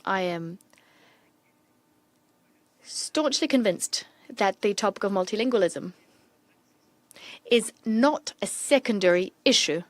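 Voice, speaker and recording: A woman interpreter renders formal diplomatic speech in measured, steady bursts. Her mid-pitched voice is close to the mic and completely dry, with no room sound at all. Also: no room sound. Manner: steady, measured, in quick bursts between pauses. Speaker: simultaneous interpreter.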